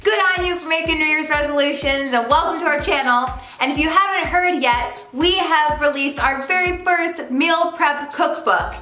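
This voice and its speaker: Bright, high-voiced upbeat woman. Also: normal speed, few pauses. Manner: upbeat, chatty. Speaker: cooking show co-host. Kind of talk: casual recipe instructions and channel promotion. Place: home kitchen. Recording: echoey kitchen with faint music.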